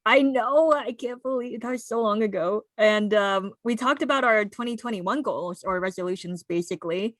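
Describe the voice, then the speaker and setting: A woman's voice: on the high side, moderate pace, few pauses, a bit bright, no echo. A woman in her twenties with an American accent, co-hosting a podcast in an informal, upbeat, chatty way. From United States. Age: 20s. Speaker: podcast co-host. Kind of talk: casual chat.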